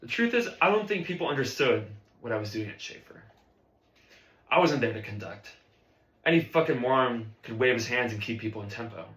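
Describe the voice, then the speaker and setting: A man's voice: medium pitch, lively intonation, brisk, fairly bright tone, reverberant, close to an everyday voice. A man playing a ruthless, abusive jazz band conductor in a dramatic film-script performance, profane and interrogating. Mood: intense, reflective.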